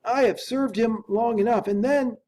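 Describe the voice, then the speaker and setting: A man doing a frightened old butler, shrill and loud. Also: moderate pace, a little hiss or hum. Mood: fearful. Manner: high-pitched, agitated, urgent pleading. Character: frightened loyal male servant, older butler.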